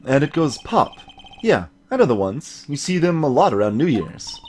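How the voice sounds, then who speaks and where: A man's voice: medium pitch, big pitch swings, moderate pace, a little mellow, a little hiss or hum, close to an everyday voice. A man voicing a dry, skeptical young male lawyer in a video game, wry and understated in wording.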